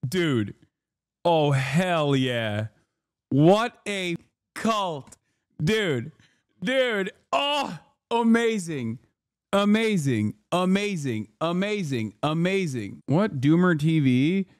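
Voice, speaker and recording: A man in his thirties, speaking a little high, reacts to news footage on a livestream. He is sarcastic and swears freely, and his close mic sounds dry with no room around it. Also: expressive, extremely slow, no echo. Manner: sarcastic, profane, talks over clips. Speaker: streamer reacting to news clips. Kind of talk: casual livestream commentary.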